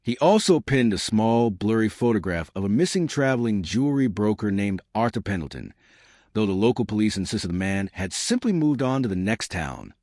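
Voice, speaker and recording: A man narrates a dark mystery audio story in a fairly low voice. His delivery is dramatic and deliberate, with lively swings of pitch. The sound is very dry and close. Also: no room sound.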